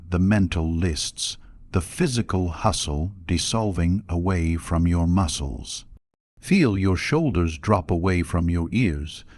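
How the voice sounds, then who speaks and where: Male meditation guide, deep register, unhurried and soothing scripted delivery for sleep narration. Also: darkish tone, no echo, clean studio sound. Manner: measured, instructional, soothing delivery.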